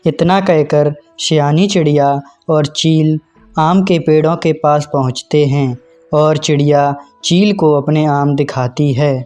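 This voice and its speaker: A man's voice: fairly high pitch, fairly even intonation, slow pace, a little mellow, faint music behind. A man narrating a scripted children's cartoon story.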